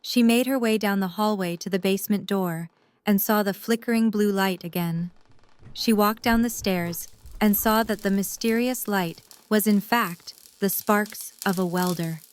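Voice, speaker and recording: A woman reading scripted third-person narration for an audio drama. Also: medium pitch.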